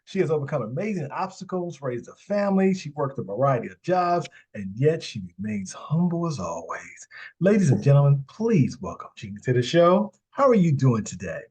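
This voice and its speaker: A man's voice: on the high side, sing-song, normal speed, a little mellow, slightly rough. A man hosting an interview podcast, upbeat in a scripted intro, then asking chatty questions with repeated words. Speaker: podcast host.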